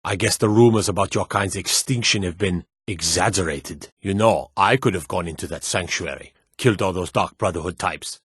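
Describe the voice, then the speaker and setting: A gruff fantasy town guard from a video game, with a low, husky voice recorded very dry. He is suspicious and curt, with a wry, world-weary edge to his remarks. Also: moderate pace, hardly any pauses, fairly bright tone, no echo. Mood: wary, threatening. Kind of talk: video game character voice lines.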